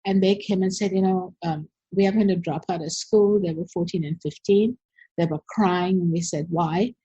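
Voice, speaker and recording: An older woman from Sri Lanka with a low, mellow voice and a husky edge. She tells stories in a relaxed, chatty way, full of "you know" and "um". The sound is dry and a little dull. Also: normal speed, no echo. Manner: storytelling, frequent fillers. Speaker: guest. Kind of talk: conversational interview.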